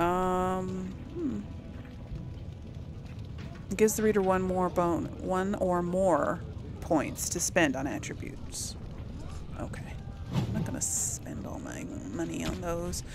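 A woman giving casual let's play commentary, thinking aloud and musing to herself as she plays. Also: fairly low pitch. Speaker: gaming YouTuber.

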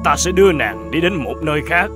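A man voicing a smug, leering bandit kidnapper in a fairy-tale cartoon, gloating with drawn-out words. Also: on the high side, expressive, music in the background, natural voice.

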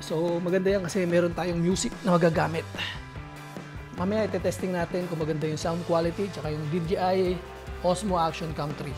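A man's voice: a little high, fairly even intonation, fairly quick, over music. A man with a Filipino accent chatting casually to viewers in a vlog, often tagging his remarks with little questioning particles. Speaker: motovlogger.

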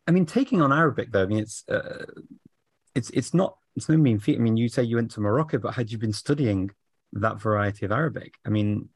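Brief: middle-aged male interviewer, gravelly low voice, dry close sound. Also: animated, a little fast, no echo. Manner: conversational, probing questions with hedging fillers. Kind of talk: casual video call chat. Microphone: webcam video call.

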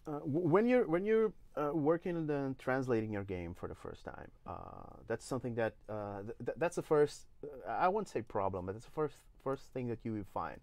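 A man in his forties from Brazil, speaking English with a Brazilian Portuguese accent, walking through a software demo step by step with many "uh"s and restarts. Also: mid-range voice, lively intonation, normal speed, vocal fry, few pauses, dry recording. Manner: explains step by step with frequent "uh" and restarts. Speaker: engine evangelist giving a demo. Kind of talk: live software tutorial. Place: indoor studio.

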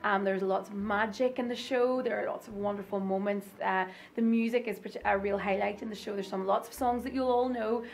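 A woman's voice: medium pitch, fairly even intonation, fairly quick, gravelly, few pauses, soft background music. A woman in her thirties, a pantomime actress, talking enthusiastically in a backstage interview with some ums. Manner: warm, enthusiastic, with fillers. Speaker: actress playing the fairy godmother. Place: dressing room.

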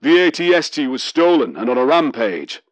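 A man's voice: mid-range voice, big pitch swings, normal speed, no echo, dull audio, close to an everyday voice. A man voicing a male soldier who reports in a matter-of-fact way in an audiobook. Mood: steady.

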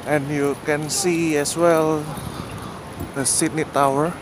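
Slow-talking man on a city walking tour. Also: a little high, little pitch movement. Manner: slow, plain, pointing out landmarks with pauses and fillers. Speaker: vlogger giving a walking tour. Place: outdoors on rainy city streets. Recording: outdoor city noise behind the voice.

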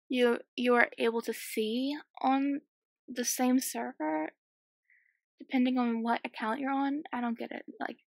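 A sixteen-year-old girl with a slightly croaky voice, chatting and giggling casually while commentating a game she is playing at home. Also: high voice, dry recording. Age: 16, teenager. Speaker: gaming YouTuber. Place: small home room.

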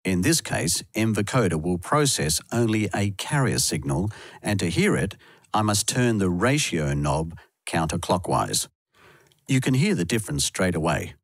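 A man with a low, husky voice narrates a scripted tutorial. His pitch moves around in a lively way, and the close voice-over sound is dry. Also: normal speed, dry recording.

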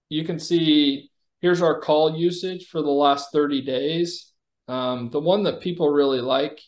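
A man walks through a software product in a casual, conversational way. He explains features informally, as a company executive would in a demo on a video call. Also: on the high side.

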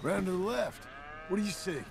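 A rugged American ranch hand from a western video game. He is terse and plain-spoken, a gruff but earnest father with a gravelly, fairly high voice. Music plays behind him. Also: animated, a little fast. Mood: firm, coaching.

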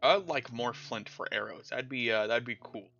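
Bright-voiced male game streamer. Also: medium pitch, moderate pace, creaky, lo-fi sound, soft background music. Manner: stutters at times, self-mocking. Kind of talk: casual chat while playing a game.

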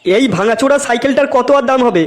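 A man's voice: very high-pitched, fast. A man voicing a grumbling, suspicious village shopkeeper in a comedy cartoon. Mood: suspicious haggling.

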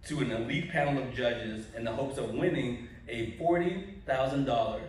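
A man reading a measured, formal introduction of an award recipient. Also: mid-range voice. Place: large indoor hall, to a seated audience. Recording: echoey hall from far off.

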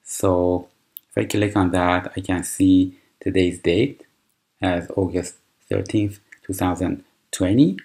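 A man with a very deep voice, a native Persian speaker, talks slowly through a computer tutorial. He explains each step patiently, pausing on fillers and restarting his phrases. Mouse clicks sound now and then. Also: gravelly, darkish tone. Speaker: tutorial presenter. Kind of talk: screen-recorded software tutorial.

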